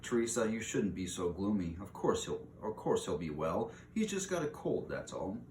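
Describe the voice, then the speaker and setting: A man's voice: low-pitched, big pitch swings, moderate pace, a little mellow. A man voicing a carefree, light-headed young girl mouse in a storybook reading. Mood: reassuring. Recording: roomy echo, clear background hiss.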